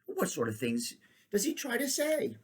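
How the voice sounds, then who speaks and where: A man reading aloud, voicing a curious, prim young girl with a high, eager voice. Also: wildly swooping pitch, moderate pace, breathy edge, heavily acted. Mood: gentle.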